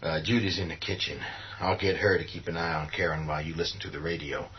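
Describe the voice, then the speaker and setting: An actor playing a gruff, protective survivors' leader, firm and blunt, in a horror radio play. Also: male voice, deep voice, fairly even intonation, quick pace, a little hiss or hum, close to an everyday voice. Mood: concerned, steady. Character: commanding, protective, gruff man leading survivors. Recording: dull, muffled audio.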